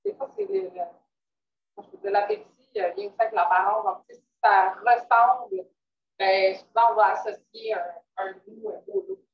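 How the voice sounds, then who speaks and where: A woman's voice: a little high, expressive, normal speed, creaky, dull audio. A woman co-presenting a live vegan cooking demonstration, chatty and casual. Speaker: cook co-presenting.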